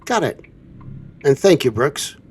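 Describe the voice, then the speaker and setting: A man's voice: medium pitch, very animated, unhurried, breathy edge. A man playing an easygoing trucker captain in a semi-scripted drama.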